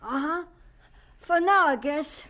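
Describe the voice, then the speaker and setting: A polite, earnest young boy in a radio drama. The voice is very high and bright, and it moves very slowly, with careful, solemn, halting phrasing. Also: lively intonation, exaggerated voice. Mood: shy. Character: shy, earnest, polite ten-year-old boy.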